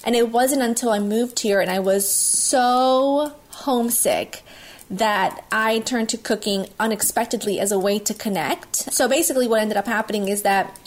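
A woman in her thirties from Italy, telling her story as a guest in an interview, loud, outspoken and enthusiastic. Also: mid-range voice, moderate pace. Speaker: guest, cooking video host.

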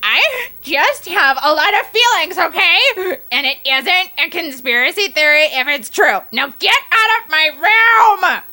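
A woman's voice: high voice, lively intonation, unhurried, bright, forceful tone, dry recording, full character voice. A woman voicing a dramatic emo youth who rambles, then drops to whispers or flares into outbursts. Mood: angsty dramatic.

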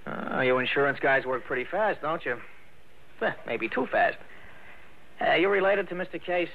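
A man's voice: a little high, lively intonation, moderate pace, vocal fry. An actor playing a young, officious, suspicious policeman in a 1960s radio drama. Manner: hesitant openers, pointed questioning. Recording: hissy tinny old radio recording.

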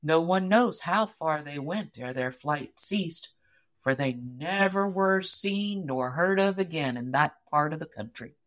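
A woman reading a story aloud as an audiobook narrator, in an expressive, measured storytelling manner. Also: low-pitched.

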